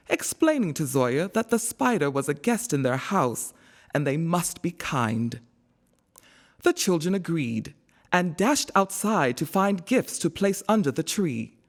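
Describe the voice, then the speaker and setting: A woman narrating a scripted children's storybook in an expressive, measured storytelling manner. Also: fairly low pitch.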